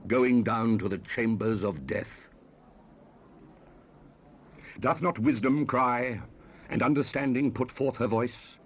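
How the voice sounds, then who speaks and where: A man giving a solemn, theatrical dramatized reading of scripture, recorded on an old cassette with hiss. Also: mid-range voice. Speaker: scripture narrator. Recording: 1970s cassette rip with hiss.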